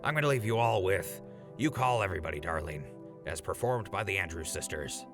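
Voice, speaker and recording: A man's voice: deep voice, lively intonation, fairly quick, over music. An actor playing an earnest, apologetic male radio DJ in a post-apocalyptic audio drama. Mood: smooth hosting.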